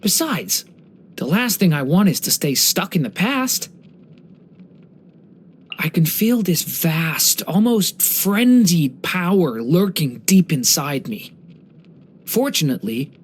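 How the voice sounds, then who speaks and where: A young man voicing a gentle, earnest dragon boy for a video game, polite and slightly hesitant. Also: a little high, slight background noise. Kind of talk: video game character voice lines.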